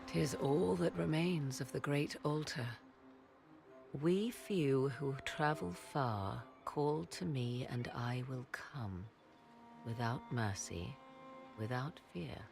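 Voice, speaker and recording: A woman's voice: extremely low pitch, creaky, darkish tone, faint music behind, heavily acted. A woman playing a proud, learned witch in a video game, speaking in formal, archaic, mysterious phrases. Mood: solemn incantation. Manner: archaic, measured, theatrical phrasing. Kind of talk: video game cutscene acting.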